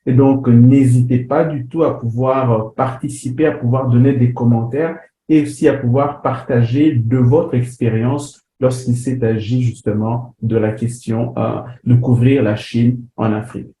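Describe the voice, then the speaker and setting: A man's voice: mid-range voice, fairly even intonation, unhurried, dark tone. A man from DR Congo presenting a webinar lecture, with frequent fillers and restarts. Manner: hesitant, many fillers and restarts. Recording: roomy sound with faint background noise.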